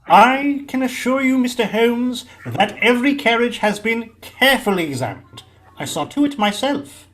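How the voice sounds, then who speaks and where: A man's voice: extremely high pitch, expressive, normal speed, a bit bright, slight background noise, rough recording. A man acting a cocksure, defensive police inspector in a radio play, eager and fussy. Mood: eager to please.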